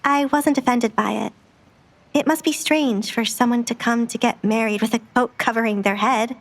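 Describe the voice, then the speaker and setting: A young woman about to marry, in an audio drama as a woman reader plays her. She is polite and a little shy, speaking earnestly and with quiet determination. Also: on the high side, steady tone, moderate pace. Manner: courteous, earnest.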